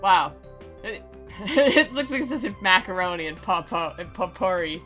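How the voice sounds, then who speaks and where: A woman's voice: on the low side, lively intonation, normal speed, a bit bright. A woman giving sarcastic, playful commentary as she reads a visual novel aloud in a dramatic style. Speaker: game commentator and reader. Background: faint music.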